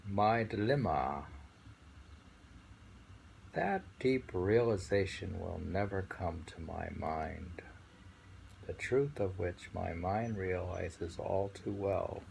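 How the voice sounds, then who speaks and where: A man with a deep, creaky voice reads poetry and reflects on it informally. He takes his time, pausing to muse as he goes. The amateur recording carries faint noise. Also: a little mellow, rough recording. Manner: contemplative, pausing to muse between phrases. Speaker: poetry reader and commentator. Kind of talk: slow poetry reading with informal reflective commentary.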